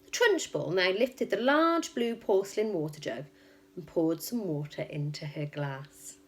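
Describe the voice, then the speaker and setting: A woman reading a children's story aloud like a teacher, with expressive, emphatic and suspenseful narration. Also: lowish voice, a little slow.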